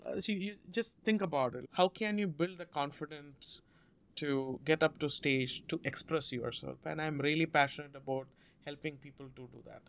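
Guy guest on a podcast, earnest, kinda higher voice, slow drawn-out bits. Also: gravelly, smooth. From India. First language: Malayalam. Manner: measured, earnest, drawn-out phrasing with restarts. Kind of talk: storytelling interview answer.

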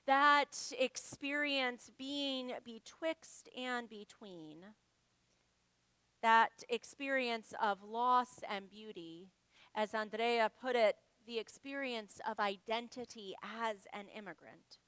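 A woman minister giving a reflective sermon, speaking in a measured, unhurried way. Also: a little high, pushed and bright, smooth, no echo. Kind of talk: reflective sermon reading from congregants' writings.

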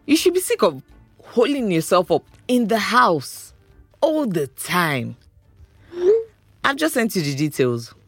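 A woman's voice: medium pitch, very animated, measured pace, long pauses, no echo, soft background music. A woman with a Nigerian accent playing a pushy, teasing young friend in an audio drama. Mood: teasing, insistent. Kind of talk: naturalistic audio drama acting.

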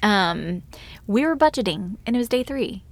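A woman's voice: mid-range voice, animated, normal speed. A woman hosting a travel podcast, chatting casually and laughing often. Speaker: podcast host.

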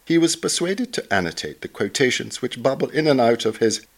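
A man reading a scripted obituary aloud as narrator, in a measured, formal manner. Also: medium pitch, sing-song, vocal fry. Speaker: reader, narrator.

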